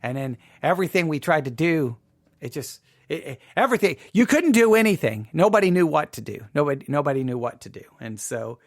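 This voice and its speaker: A man's voice: a little high, big pitch swings, fairly quick, dry recording, studio quality. A man hosting a podcast, talking informally about personal matters in an emphatic way, often repeating phrases for emphasis. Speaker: podcast host. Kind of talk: informal talk and personal commentary.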